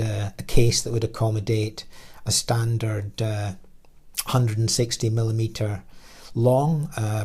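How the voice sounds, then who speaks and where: A man presenting a technical slide lecture as an engineer, explaining in a halting way with frequent pauses mid-phrase. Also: medium pitch, a little mellow. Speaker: radio designer and engineer presenting.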